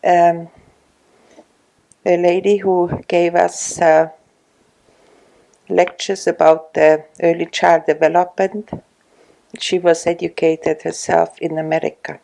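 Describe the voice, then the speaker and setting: A woman in her sixties, from Estonia, speaking English with an Estonian accent in an oral history interview at her home, slow and hesitant with many pauses. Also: lowish voice, flat delivery, gravelly, dark tone, poor audio. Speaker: retired social worker interviewee.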